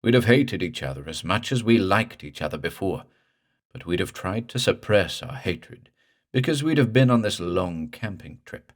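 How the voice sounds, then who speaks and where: A man voicing a drowned dead man's slow guttural drawl. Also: deep voice, lively intonation. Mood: menacing.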